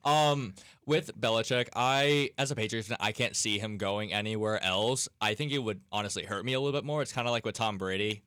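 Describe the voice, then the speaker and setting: A new male co-host on a radio sports show, from New Hampshire, speaking in a relaxed way and laughing easily. His voice is lowish and recorded dry and close in the studio. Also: fairly quick, few pauses, clean tone, no room sound. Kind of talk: casual radio sports chat.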